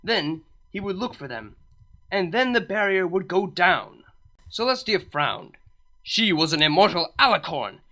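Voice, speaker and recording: A woman narrates a comedic fan fiction story at an unhurried pace. Her pitch moves freely and animatedly as she reads. The tone is bright and clear. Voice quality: expressive, unhurried reading.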